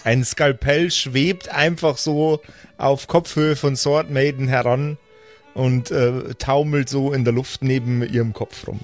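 A man's voice: mid-range voice, fairly even intonation, a little slow. A man narrating an improvised tabletop role-playing game as game master. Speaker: game master who also voices characters. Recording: music behind. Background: instrumental background music.

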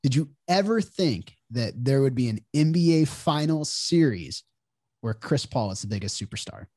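A man's voice: medium pitch, lively intonation, normal speed, a little mellow, no room sound, clean studio sound. A man hosting a sports podcast, talking casually with a nasal, congested voice from a cold. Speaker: podcast host.

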